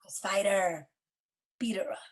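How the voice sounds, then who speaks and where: A woman's voice: medium pitch, measured pace, lightly acted. A woman acting a rude, mocking sixteen-year-old clique girl, sneering and taunting, in a scripted read. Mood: sneering, egging on.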